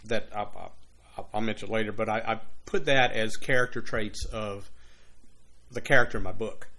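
A man's voice: lowish voice, moderate pace, pushed and bright. Man in his sixties telling cop stories. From Louisiana, USA. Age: 60s. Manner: long rambling anecdotes with many "you know" asides. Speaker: retired police officer and author, interview guest. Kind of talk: casual conversational interview.

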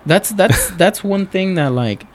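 A man's voice: sits high, expressive, measured pace. A man who rambles through a casual podcast chat as a guest, swearing, with many fillers. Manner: rambling, profane, lots of fillers.

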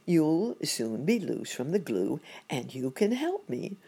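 A courteous old rabbit gentleman from a storybook, played by a woman, with a low, slightly husky voice. He is calm and kindly, always reassuring and polite. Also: slow, heavily acted. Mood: kindly, brisk.

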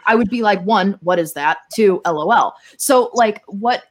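A woman talks as a guest on a podcast in a medium-pitched voice. She is informal and candid, thinking her points through in loose, conversational phrasing. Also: moderate pace. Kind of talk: casual podcast chat.

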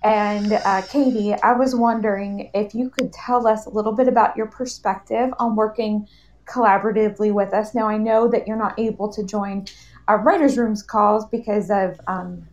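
A woman's voice: medium pitch, steady tone, moderate pace, darkish tone, poor audio. A woman hosting a live panel discussion in a conversational style. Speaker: panel host.

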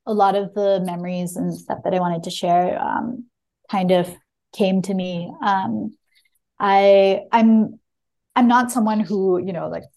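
A woman's voice: medium pitch, dark tone. A woman author answering interview questions in a reflective, hesitant way, searching for her words as she goes. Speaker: debut memoir author, guest. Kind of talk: reflective interview answers, with a short reading at the start.

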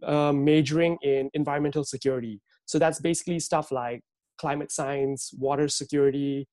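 A man's voice: fairly high pitch, fairly even intonation, a little fast, a little mellow, no room sound. A young man from Malaysia, a guest on an interview podcast, talking in an easygoing, agreeable way. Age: young adult. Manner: easygoing, agreeable, says "yeah" often. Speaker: guest, visual artist. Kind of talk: interview conversation.

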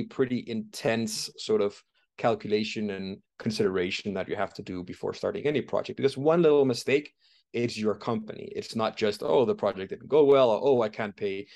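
A man in his thirties from Denmark speaks in a fairly low voice. He explains his work in a conversational interview, often pausing and restarting his sentences. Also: creaky, a little mellow. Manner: thoughtful, frequent fillers and restarts while explaining. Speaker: startup founder and CEO.

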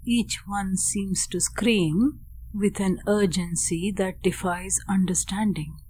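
A woman guides a meditation at a very slow pace. Her pitch is medium, and she speaks deliberately in short, measured phrases, leaving long pauses between them as a teacher would. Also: steady tone, darkish tone.

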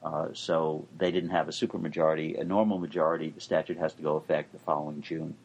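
An older man, a law professor and co-host, explaining legal news in a dry, measured way. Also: very deep voice, flat delivery, creaky, darkish tone, slightly rough, dull audio.

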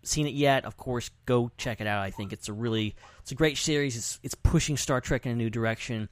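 A man's voice: mid-range voice, a little fast, no echo. A man hosting a podcast in casual, rambling, enthusiastic conversation. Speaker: podcast host.

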